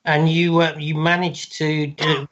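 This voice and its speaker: A man co-hosts an online radio show, his voice stuffed up from a cold. He talks in a relaxed, halting way with many restarts, over slightly muffled, lo-fi audio. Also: fairly high pitch. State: bunged up with a cold. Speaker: co-host.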